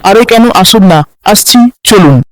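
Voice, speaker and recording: Man playing a high-voiced, eager house seller. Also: animated, unhurried, no echo, poor audio. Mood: reassuring. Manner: reassuring, persuasive. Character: smooth-talking, eager house seller hiding a secret.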